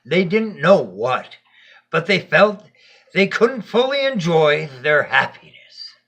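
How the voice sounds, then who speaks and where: A man as Death, gentle, slow and husky. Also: a little high, big pitch swings, voice pushed up, full character voice. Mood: storytelling. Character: Death, gentle, wise, cloaked male storyteller.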